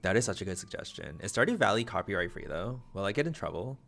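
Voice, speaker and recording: Young male streamer, lowish voice, flat and laid-back, rambling chatty asides. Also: fast talker, gravelly, faint music behind. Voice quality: flat, low-energy delivery. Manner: chatty, self-deprecating, trailing into asides. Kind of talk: casual livestream chat.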